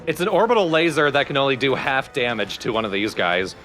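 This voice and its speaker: A man's voice: medium pitch, sing-song, a little fast, a bit bright, soft background music. A man giving casual let's play commentary as the player, with dry, wry asides.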